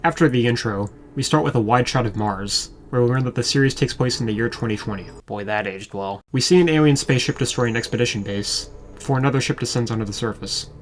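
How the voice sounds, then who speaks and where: A man's voice: medium pitch, fast talker, a little hiss or hum. A man reading scripted commentary as a video reviewer, with a wry, sarcastic manner. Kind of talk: scripted commentary over show clips.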